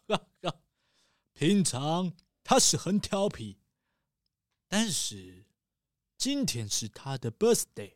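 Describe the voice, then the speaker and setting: Brief: theatrical rich tycoon father, acted by a performer, slow and exclaiming, soft music bed. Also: mid-range voice, no room sound, studio quality. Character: rich excitable middle-aged male tycoon father. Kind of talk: children's audio drama.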